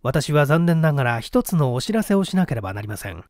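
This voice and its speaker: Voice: medium pitch, big pitch swings, quick pace, darkish tone, dry recording, studio quality. An audiobook narrator reading a story theatrically, addressing listeners in a formal storyteller manner.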